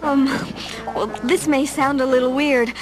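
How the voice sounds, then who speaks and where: An actress playing a sweet young princess in an audio drama, speaking with hesitant, awkward sincerity. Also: female voice, high-pitched, fairly even intonation, unhurried, music in the background. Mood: awkward, sincere. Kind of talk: dramatic audio play acting.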